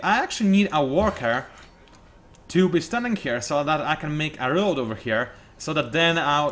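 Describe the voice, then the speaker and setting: A man's voice: medium pitch, fairly bright tone, slight background noise. A man giving casual, joking commentary as he plays a strategy game, thinking aloud and poking fun at himself. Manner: chatty, joking and self-mocking, thinking aloud. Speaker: video game let's play host.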